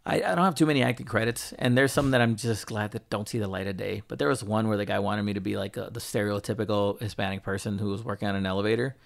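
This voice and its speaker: An American man hosting a casual interview, telling stories in an animated, loose, sweary way. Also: on the low side, fast talker, creaky, hardly any pauses. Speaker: podcast host and interviewer. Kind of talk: casual chat.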